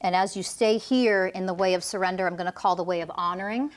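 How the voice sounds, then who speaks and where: A woman's voice: lowish voice, steady tone, quick pace. A woman energy healer guiding a client through a healing session, commanding and intense, with blunt swearing.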